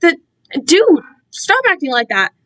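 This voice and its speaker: A woman's voice: very high voice, wildly swooping pitch, a little slow, bright, forceful tone, pitched above the performer's own voice, heavily acted. A woman voicing a protective young male captive in a story, urgent, anguished and stammering. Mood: uneasy, urgent.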